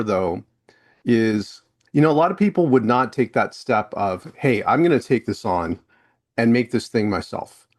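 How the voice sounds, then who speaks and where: Low-voiced male podcast host. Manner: conversational, sums up and leads into questions. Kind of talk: casual interview talk.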